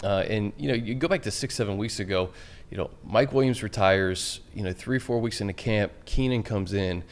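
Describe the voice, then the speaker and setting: A man's voice: fairly low pitch, moderate pace. A man hosting a sports podcast, introducing a guest and asking questions. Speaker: podcast host.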